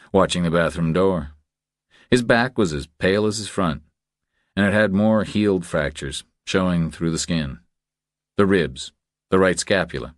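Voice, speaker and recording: A man's voice: low-pitched, no echo, clean studio sound. A man reading a thriller novel as scripted audiobook narration.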